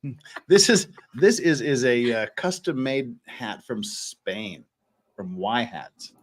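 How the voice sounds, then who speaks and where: A man's voice: medium pitch, lively intonation, takes it slowly, a little hiss or hum. A man hosting a casual livestream, rambling and repeating words as he thinks aloud. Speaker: host of an online show.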